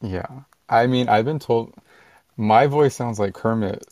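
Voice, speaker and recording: A man co-hosting a casual podcast chat in a monotone way. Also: mid-range voice, slow, dry recording. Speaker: podcast co-host.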